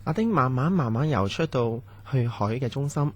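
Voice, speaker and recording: A man's voice: medium pitch, lively intonation, moderate pace, a little hiss or hum. A man with a Hong Kong Cantonese accent giving scripted narration for a radio horror drama.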